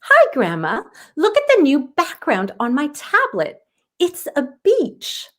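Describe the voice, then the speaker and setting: A woman reading a storybook as an eager, excitable young granddaughter, bubbly and pleading. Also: sits high, slow, voice pushed up, full character voice. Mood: proud.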